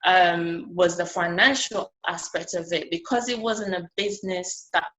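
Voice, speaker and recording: Soft-spoken woman giving a conference speech. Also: lowish voice, rough recording. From Ghana. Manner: earnest, conversational, with many "um" pauses. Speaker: artist speaking at a conference.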